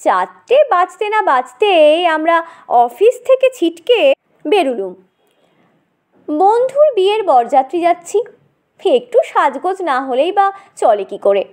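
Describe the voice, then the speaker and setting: Female comic audiobook narrator: very lively intonation, unhurried delivery, theatrical and chatty. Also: very high-pitched. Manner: dramatic, conversational, addresses listener directly. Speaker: storyteller.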